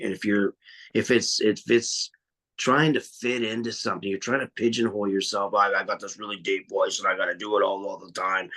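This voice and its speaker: A man's voice: fairly low pitch, fairly bright tone. A man, a metal vocalist, talking bluntly and at length in a casual podcast interview, swearing freely. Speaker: metal vocalist and guitarist guest.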